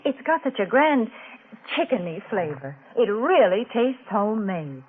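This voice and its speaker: A woman giving a folksy, chatty, homey sponsor pitch in 1940s radio advertising style. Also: sing-song, unhurried, a little hiss or hum. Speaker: sponsor spokeswoman and co-host. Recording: tinny, band-limited old radio recording.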